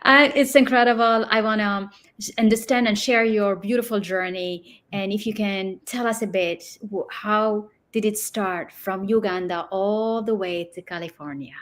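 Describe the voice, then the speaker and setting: A woman's voice: mid-range voice, moderate pace, fairly bright tone. A woman hosting a casual live interview, effusive and encouraging toward her guest. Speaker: interview host.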